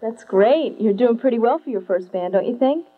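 A woman's voice: a little high, lively intonation, moderate pace. A young woman interviewing a band on a handheld mic in a small curtained room, earnest and often laughing. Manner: earnest, laughs often, reads questions from notes. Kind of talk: live studio interview. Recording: tinny lo-fi late-70s video sound with mains hum.

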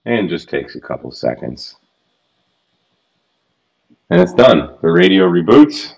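Deep-voiced guy in his 30s demoing radio stuff at his home workbench, chatty and frank, kinda dull lo-fi mic. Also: darkish tone. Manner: chatty, frank, mildly exasperated asides. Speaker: radio gear reviewer. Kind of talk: casual screen-recorded tutorial.

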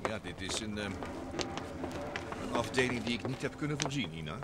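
A man's voice: fairly low pitch, expressive, a little fast, over loud music, natural voice. An actor playing a genial, chatty, politely self-deprecating male traveller in a vintage Dutch radio drama. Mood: wry, uneasy. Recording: faint noise of old radio recording.

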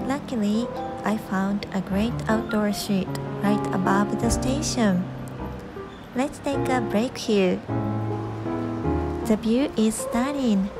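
A woman's voice: mid-range voice, takes it slowly, long pauses. A young woman from Japan with a Japanese accent, a native Japanese speaker, narrating a travel vlog from a script. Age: young adult. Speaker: vlog host. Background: background music.

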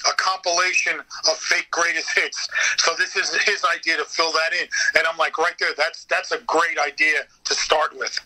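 A man chatting casually as a podcast guest, animated and talkative, with some swearing. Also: fairly high pitch, poor audio. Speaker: guest, voice actor.

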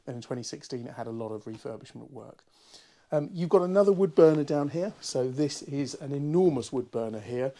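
Guy a bit croaky from a cold, mellow voice, chatty walkthrough with lots of ums. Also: medium pitch, expressive, brisk. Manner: chatty and upbeat, many ums. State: hoarse from a cold. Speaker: boat broker. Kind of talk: walkthrough property tour. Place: inside a barge, small cabin rooms.

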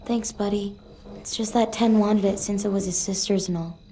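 A woman's voice: medium pitch, steady tone, moderate pace, dark tone, a little hiss or hum, lightly acted. An actress playing a wistful, awkward but tough teenage girl survivor in a video game, with a hesitant, slangy, casual delivery. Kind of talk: video game drama dialogue.

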